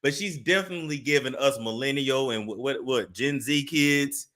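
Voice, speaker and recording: A man's voice: medium pitch, moderate pace, a bit bright. A young man hosting a gossip commentary show, laughing and mocking the clips he reacts to. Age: young adult. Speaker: commentary host.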